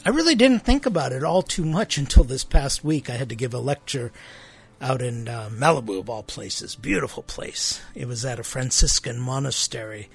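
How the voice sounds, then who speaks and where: A man with a slightly husky voice hosts a church talk show in a relaxed, conversational way. His first language is Armenian, and he grew up in the United States. He keeps checking in with the listener through quick rhetorical questions. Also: medium pitch. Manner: conversational, often adds rhetorical tag questions. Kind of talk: casual religious talk.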